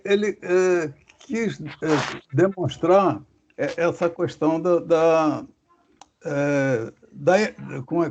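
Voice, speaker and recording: Gravelly guy talking slow and halting on a muffled video call, keeps restarting, voice a bit high for a man. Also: a little mellow, slight background noise. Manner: halting, searching for words with many restarts. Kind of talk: casual religious study discussion. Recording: dull lo-fi call audio.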